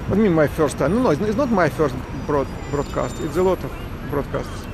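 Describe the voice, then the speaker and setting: A man's voice: on the high side, lively intonation, a little fast, creaky. Man streaming from a noisy street. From Ukraine. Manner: non-native broken English, repeats and restarts words. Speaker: parent livestreaming a protest. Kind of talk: live street broadcast answering viewers. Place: outdoors on a city street with traffic. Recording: noisy outdoor phone recording with traffic.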